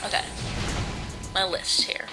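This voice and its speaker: A sixteen-year-old boy with a high-pitched voice, giving live gameplay commentary in a hesitant, rambling way with many ums and restarts. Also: slow. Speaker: let's play commentator. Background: video game music.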